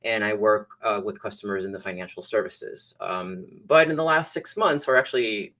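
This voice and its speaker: A man's voice: fairly low pitch, normal speed, sharp tone. A man from Venezuela, a cloud architect, giving short, friendly answers as a guest on a tech show. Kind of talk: casual interview.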